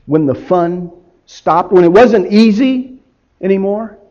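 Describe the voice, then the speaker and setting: A man performing a guilt-ridden disciple confessing his betrayal in a halting, emotional dramatic biblical monologue. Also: sits high, lively intonation, dull audio. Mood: remorseful, hushed. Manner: halting, emotional, swinging from hushed to shouting.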